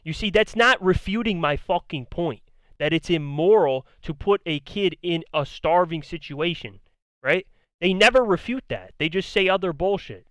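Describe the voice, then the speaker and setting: A man giving blunt, argumentative, profane commentary, repeating his points, his voice going hoarse. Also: high voice, expressive, moderate pace, a bit bright, no room sound. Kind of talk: ranting commentary on a debate.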